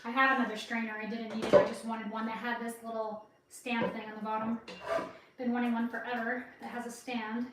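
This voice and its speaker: A rambling woman talks through her cooking, laughing often and sniffling with a runny nose. Her somewhat high voice is caught from a distance in a very echoey home kitchen, with clear background noise behind her. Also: little pitch movement, fairly quick. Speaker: cooking livestream host. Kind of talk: casual cooking livestream chat.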